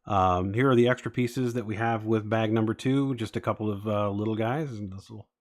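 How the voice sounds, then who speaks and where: Forties man, lowish voice, relaxed rambling streamer talking to his chat. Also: a little fast. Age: 40s. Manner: rambling, chatting to viewers with many fillers. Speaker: streamer building toy sets. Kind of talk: casual live-stream chat.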